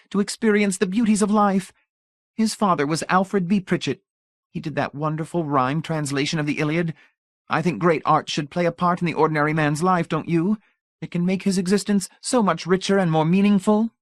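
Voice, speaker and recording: A man voicing a gushing, bland society matron with a high, fluttery voice. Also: fairly even intonation, a little fast, no room sound, clean studio sound, close to an everyday voice. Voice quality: high and gushy.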